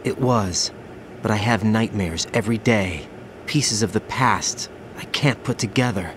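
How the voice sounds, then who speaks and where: A man voicing a young male soldier haunted by his past as a child soldier, in a video game cutscene with music under it, talking quietly and guardedly. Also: on the low side, unhurried, background noise, lightly acted. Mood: brooding. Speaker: game character.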